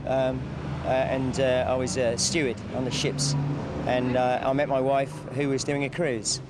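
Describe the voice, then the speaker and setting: A man's voice: medium pitch, little pitch movement, moderate pace. A man in his forties with an English accent, answering casually and hesitantly as he searches for words. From Norwich, England. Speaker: member of the public being interviewed. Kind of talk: casual street interview answers. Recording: noisy outdoors, traffic behind.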